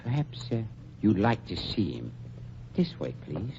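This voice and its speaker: A man's voice: on the low side, huge pitch swings, vocal fry, heavily acted. A man playing an oily, falsely polite undertaker who blackmails a gangster, in 1940s radio acting on a dull, lo-fi recording with faint hiss. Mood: unctuous. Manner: unctuous, falsely polite, with drawn-out pauses. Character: oily, smooth-talking male undertaker and blackmailer.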